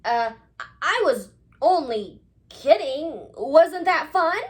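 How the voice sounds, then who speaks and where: Lady doing a lazy little boy owl for a kids story, high voice, up and down a lot, dramatic pleas, kinda full of himself. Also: lively intonation, slow. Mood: sheepish. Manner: urgent, exclaiming pleas and proud boasts. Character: lazy young male owl, scheming and boastful.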